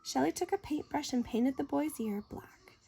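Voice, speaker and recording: A high-voiced woman reads a children's story aloud. Her delivery is animated and theatrical, with wide swings in pitch and deliberate dramatic phrasing. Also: gravelly. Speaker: story reader. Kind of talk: expressive children's picture-book read-aloud.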